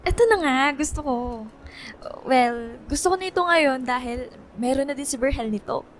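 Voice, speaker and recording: A woman's voice: high voice, normal speed, slight background noise, natural voice. A woman acting as a giddy, stubborn young woman in a radio drama, with a breezy, exaggerated, pleading delivery. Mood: breezy, eager. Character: giddy, stubborn young woman faking anime fandom for a crush. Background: music.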